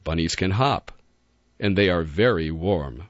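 A man voicing a great silver wolf who speaks slowly, deliberately and gently. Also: low voice, expressive, dry recording, lo-fi sound, pitched below the performer's own voice. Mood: gentle.

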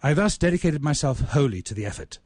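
Male author-narrator for literary tale: measured, ornate delivery, medium pitch, dry sound. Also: sing-song, a little mellow, no echo. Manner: ornate, deliberate, measured phrasing. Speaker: writer reading own short story. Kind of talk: dramatic literary story reading.

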